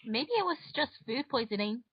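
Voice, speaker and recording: Woman acting a high-voiced pushy lovestruck schoolgirl, muffled recording. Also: animated, a little fast, slightly rough, no room sound. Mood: insistent. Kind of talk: amateur dramatic reading of fan fiction.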